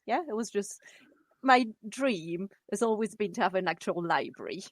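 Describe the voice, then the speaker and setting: A woman's voice: mid-range voice, expressive, normal speed, no echo. A woman in her forties, a native French speaker with a French accent tinged with Geordie, chatting conversationally as an interview guest. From France. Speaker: guest, primary school French teacher. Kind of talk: casual interview, personal storytelling.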